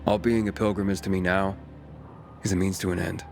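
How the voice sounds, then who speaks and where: A guarded young wanderer from a video game. His voice sits low and he speaks plainly and with resolve. Music plays clearly behind him. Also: level tone, brisk. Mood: calm, resolute. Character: guarded, determined young male pilgrim and survivor. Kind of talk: naturalistic game dialogue.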